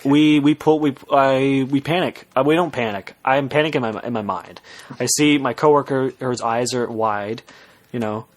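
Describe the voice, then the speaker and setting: Young adult male, medium pitch, casual podcast storyteller, stop-and-start delivery, loose swearing. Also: steady tone. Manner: halting, self-interrupting, swears casually. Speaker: podcast co-host telling a work story. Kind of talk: casual storytelling chat with friends.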